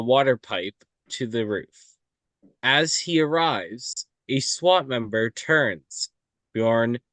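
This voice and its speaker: A man narrates a screenplay at a table read with extremely slow, halting delivery. He breaks lines into short pieces and stumbles over words. The sound is dry, with little room echo. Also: mid-range voice, fairly bright tone. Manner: halting, stumbling sight-reading.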